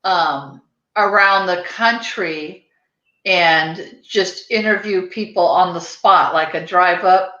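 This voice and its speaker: An American woman hosts a casual podcast with a chatty, rambling delivery. Her voice is bright and forward. The recording is echoey and slightly dull, like a lo-fi room pickup. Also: lowish voice, slow pace. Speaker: podcast host. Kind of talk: casual chat. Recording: roomy echo, dull lo-fi sound.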